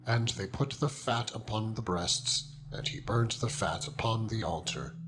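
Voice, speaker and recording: A man reading scripture as a dramatized narration, in a solemn and measured manner. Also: fairly low pitch, noisy background. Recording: music throughout. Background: loud instrumental music.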